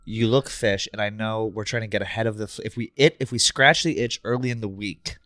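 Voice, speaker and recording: A man's voice: lowish voice, steady tone, a little fast, pushed and bright, dry recording. A man co-hosting a podcast, chatting casually in a brash, teasing way and swearing freely. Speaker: podcast co-host. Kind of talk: casual chat.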